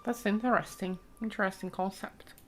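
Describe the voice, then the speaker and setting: A woman's voice: medium pitch, fairly even intonation, normal speed, fairly bright tone, soft background music. A woman giving casual, reactive let's play commentary as a gaming streamer.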